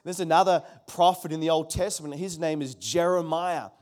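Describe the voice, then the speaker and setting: A middle-aged pastor delivers a sermon with real emphasis, circling back to his key phrases again and again. He calls out to the congregation and asks them to respond. His voice sits a little high for a man. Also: normal speed, a little mellow.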